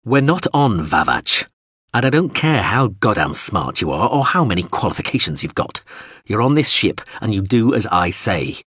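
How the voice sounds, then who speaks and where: Man doing a blunt mercenary commander, profane, low husky voice, dry sound. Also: very animated, normal speed, fairly bright tone, no echo, full character voice. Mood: threatening. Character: hard-bitten, blunt male mercenary commander. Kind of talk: audiobook character voice.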